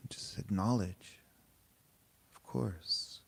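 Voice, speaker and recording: A man leading a guided meditation, speaking in short, unhurried, gently informal phrases with pauses between them. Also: fairly low pitch, gravelly, slightly rough. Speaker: meditation teacher. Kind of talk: guided meditation, spoken softly to a group.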